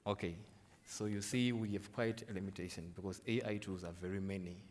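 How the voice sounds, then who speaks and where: A man moderating a live panel in a conference hall, lively as he calls on audience members. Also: deep voice, brisk. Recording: echoey hall, faint crowd noise.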